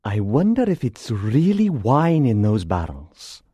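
A man's voice: mid-range voice, huge pitch swings, measured pace, mellow, slightly rough, no room sound, full character voice. A man voicing a shrewd, thoughtful companion who is easily alarmed, in audiobook dialogue.

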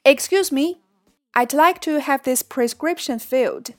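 A woman reading the lines of a polite female customer at a pharmacy in a clear, careful textbook manner. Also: high voice, measured pace, dry recording, clean studio sound, faint music behind.

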